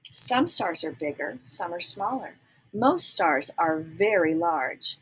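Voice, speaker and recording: A woman reading a children's picture book aloud, pausing to ask young listeners gentle teacherly questions and dropping into soft whispers. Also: fairly low pitch, animated, slight background noise, low-quality recording. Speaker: read-aloud storyteller.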